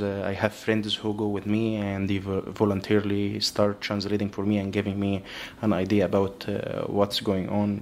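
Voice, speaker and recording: A man's voice: on the low side, gravelly, slight background noise. A man whose first language is Arabic, answering interview questions in English with frequent hesitant fillers. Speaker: graduate student guest.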